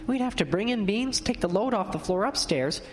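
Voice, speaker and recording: A woman's voice: mid-range voice, lively intonation, normal speed, lightly acted. A woman playing a stubborn construction foreman in an audio drama, talking in a blunt, folksy, colloquial way. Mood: incredulous. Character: plain-spoken, stubborn construction foreman named Jeff.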